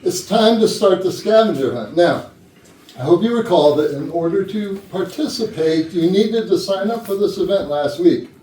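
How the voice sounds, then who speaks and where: An actor playing a flustered, officious boss at an office Christmas party in a staged comedy reading. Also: male voice, high voice, expressive, normal speed, mellow. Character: flustered man boss hosting office Christmas party, trying to keep order. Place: indoor rehearsal room, mic at a distance. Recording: roomy distant rehearsal recording, faint noise.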